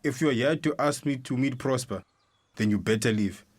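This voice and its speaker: A man's voice: mid-range voice, moderate pace, natural voice. An older man with a Zimbabwean accent playing a stern, unyielding traditional father in a radio drama, blunt and guarded.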